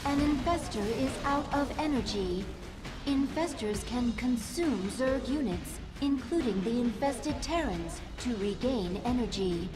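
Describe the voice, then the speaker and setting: A fairly high female voice as a queen's dutiful adviser in a strategy game. She reports in a measured, informative way over loud music. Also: animated, low-quality recording. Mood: instructive. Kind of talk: video game mission announcements.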